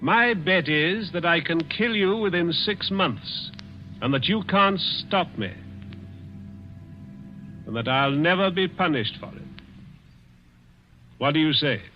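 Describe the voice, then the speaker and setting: A man's voice: a little high, lively intonation, takes it slowly, pauses often, soft background music. An actor playing a cruel, dying rich man who taunts with sly chuckles in a 1940s radio drama. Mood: sinister. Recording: dull old radio broadcast.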